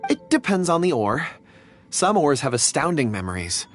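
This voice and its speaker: A man's voice: on the high side, big pitch swings, normal speed, soft background music. An actor playing an earnest, polite man in a video game, explaining things carefully and courteously. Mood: explanatory.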